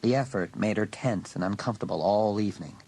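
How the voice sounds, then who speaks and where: A man's voice: lowish voice, quick pace, a little mellow, slightly rough. A man reading scripted narration for a dramatised audiobook.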